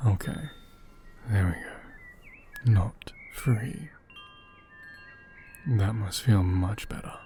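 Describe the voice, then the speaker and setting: A man playing a gentle, attentive doll repairer in an ASMR roleplay, speaking softly and often in a half-whisper, unhurried and soothing. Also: on the low side, slight background noise. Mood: tender, soothing. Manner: unhurried, hushed, murmuring with thoughtful hums.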